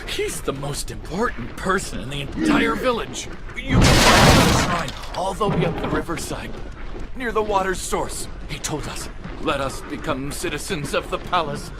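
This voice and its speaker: An actor playing a nervous, rambling male villager hiding under a basket in a video game, heard over noisy ambience. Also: high voice.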